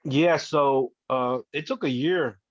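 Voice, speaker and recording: A man's voice: mid-range voice, slow pace, no echo. Earnest man in his fifties, dull dry audio. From Colombia, raised in New Jersey. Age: 50s. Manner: earnest, heartfelt, patriotic. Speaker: podcast guest. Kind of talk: casual interview storytelling.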